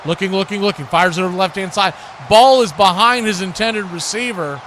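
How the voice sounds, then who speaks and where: An American man calling live football play-by-play in excitable, clipped phrases. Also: sits high, a little fast, a faint crowd, a little hiss or hum. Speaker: play-by-play announcer.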